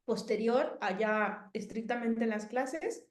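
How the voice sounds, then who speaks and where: A woman's voice: fairly high pitch, slow, roomy sound. A Mexican woman in her thirties with a Mexican Spanish accent, chatting casually as an interviewee and rambling with many fillers and restarts. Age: 30s.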